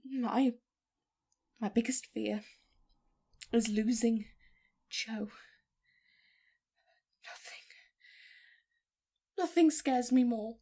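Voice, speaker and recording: An anxious mother in an audio drama, fearful for a lost child. The voice is a little high and unhurried, pleading and full of feeling. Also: warm tone, exaggerated voice. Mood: distraught, defensive. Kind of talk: emotional audio drama acting.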